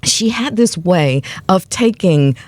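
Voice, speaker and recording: A woman's voice: fairly low pitch, lively intonation, slow pace, no echo. A middle-aged woman with an American accent, talking reflectively and expressively as an interview guest. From Cleveland, Ohio, USA. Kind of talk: conversational interview.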